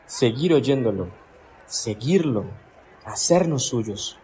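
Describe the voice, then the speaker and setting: Actor as an eager crowd man, pleading, slow, lively pitch, radio drama. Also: a little hiss or hum, rough recording.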